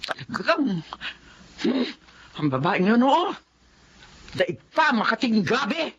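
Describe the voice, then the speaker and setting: A woman playing a sharp-tongued, disapproving old grandmother in a Filipino radio drama. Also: a little high, sing-song, slow, breathy edge, full character voice. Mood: indignant. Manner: scolding, indignant. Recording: dull band-limited audio.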